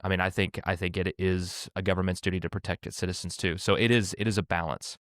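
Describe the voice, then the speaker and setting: A man's voice: low voice, little pitch movement, quick pace, a bit bright, dry recording, clean studio sound. A man co-hosting a podcast, thoughtfully weighing both sides in a casual discussion. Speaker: podcast co-host.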